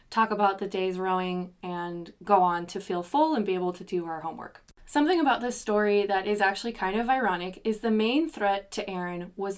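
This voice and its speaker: A young adult woman hosting a solo podcast, chatty, with self-deprecating asides. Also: medium pitch. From United States. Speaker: podcast host.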